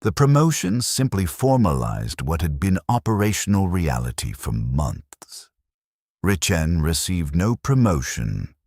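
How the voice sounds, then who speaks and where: Very deep-voiced grim soldier played by a man. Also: very animated, measured pace, a little mellow, breathy edge, dry recording, exaggerated voice. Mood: grim, detached confession. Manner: clipped, formal, detached, report-like delivery. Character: guilt-burdened soldier, a logistics corporal, confessing coldly. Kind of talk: scripted first-person war story narration.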